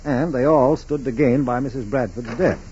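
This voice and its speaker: An actor playing a methodical FBI special agent in a 1940s radio drama, with a clipped, deliberate, businesslike delivery. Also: male voice, mid-range voice, dark tone, slight background noise, close to an everyday voice. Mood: measured, puzzled. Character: steady, methodical male FBI special agent investigating a case. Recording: dull, muffled 1940s radio recording.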